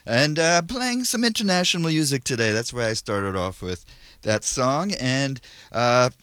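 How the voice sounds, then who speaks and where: A male radio host whose pitch moves a lot, presenting a music program from a dry-sounding studio. He talks with wry humor and stumbles over his words with filler sounds. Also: expressive, a little slow, fairly bright tone, smooth, dry recording.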